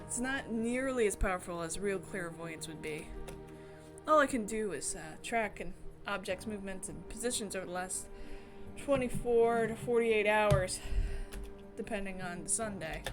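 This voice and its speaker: A woman's voice: medium pitch, animated, moderate pace, over music, lightly acted. A woman streamer reading a visual novel voices a jaded, world-weary clairvoyant investigator narrating in the first person. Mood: matter-of-fact.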